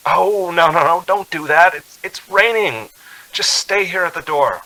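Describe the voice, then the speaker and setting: A man's voice: a little high, pushed and bright, no room sound, poor audio. A man playing a haunted thirty-six-year-old traveler in a radio drama, with an urgent, dramatic way of talking. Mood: cheerful, reassuring. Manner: urgent, dramatic, halting confession. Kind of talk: 1940s radio drama acting.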